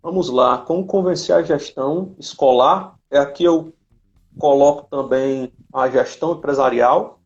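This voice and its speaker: A Brazilian man hosts a casual live chat. His voice is fairly high for a man and noticeably creaky. The audio is a bit dull and lo-fi, as from an internet call. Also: a little slow. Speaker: live-stream host. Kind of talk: casual chat, reading audience questions and commenting.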